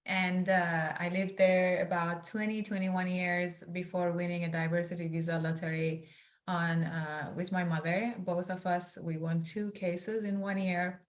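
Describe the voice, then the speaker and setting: A young woman from northeast Iran, an author introducing herself and her book as a guest, earnest and grateful, speaking in quick bursts over a video call. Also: fairly low pitch, little pitch movement. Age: young adult.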